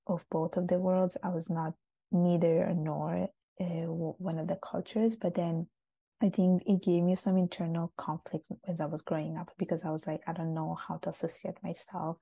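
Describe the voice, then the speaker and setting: A woman's voice: on the low side, flat delivery, normal speed, gravelly, dark tone, breathy edge, dry recording. A young adult woman from Italy, answering interview questions in a reflective, casual way with frequent restarts. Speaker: guest.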